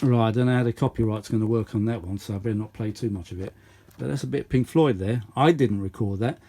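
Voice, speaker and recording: A man's voice: lowish voice, fast talker, very noisy. A British man rambling casually through a hands-on demonstration, often muttering asides to himself. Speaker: vintage audio hobbyist and video host.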